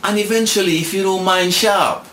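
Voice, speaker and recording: A man's voice: sits high, normal speed, bright, forceful tone, a little hiss or hum. A man in his sixties from Jamaica with a Jamaican accent, telling stories thoughtfully and repeating words as he searches for them. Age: 60s. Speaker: guest. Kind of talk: reflective storytelling. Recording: echoey room with faint ambience.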